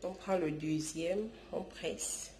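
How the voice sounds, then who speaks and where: A woman's voice: lowish voice, fairly even intonation, slow, mellow, a little hiss or hum. Woman with a head cold, echoey room. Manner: affectionate, calls viewers darlings, repeats and restarts. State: stuffy from a cold. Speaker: YouTube channel host. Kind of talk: home remedy tutorial. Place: home, indoors.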